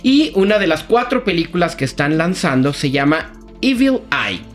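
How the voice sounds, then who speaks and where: A man's voice: on the high side, sing-song, moderate pace, faint music behind. A man with a Mexican accent giving a casual, colloquial review as a critic on a talk show, telling plots like gossip. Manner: colloquial, gossipy storytelling with slang.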